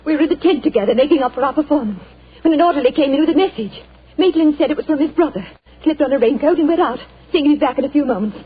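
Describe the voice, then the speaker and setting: An actress playing a frightened stage actress in a 1940s radio drama, speaking in an anxious, pleading way. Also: female voice, high-pitched, expressive, very fast. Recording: tinny old radio broadcast.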